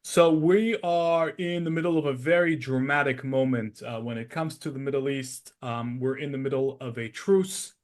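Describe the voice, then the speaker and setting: A man hosting an interview podcast, explaining current affairs in a measured way with some hesitant pauses. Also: medium pitch. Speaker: podcast host. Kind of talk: interview and news commentary.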